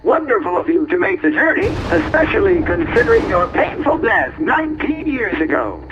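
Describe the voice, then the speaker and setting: An actor playing a fawning, cheerfully polite automated gatekeeper in a video game, with mannered courtesy. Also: male voice, high voice, wildly swooping pitch, moderate pace, dull audio, background noise. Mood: chirpy, courteous. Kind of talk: video game character acting.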